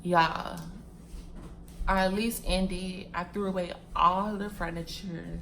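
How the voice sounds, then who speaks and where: A woman's voice: on the low side, steady tone, slow pace, vocal fry, fairly bright tone, a little hiss or hum, rough recording. Chatty young woman talking to viewers. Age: 20s. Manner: chatty, repeats phrases, addresses viewers as y'all and sis; packing wigs while talking. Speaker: vlogger. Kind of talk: casual chat to viewers.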